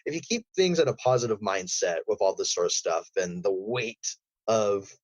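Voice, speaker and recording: A man's voice: lowish voice, animated, moderate pace, no room sound. A man speaking as an interview guest about his voice work, in a rambling, enthusiastic way. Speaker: guest, voice actor.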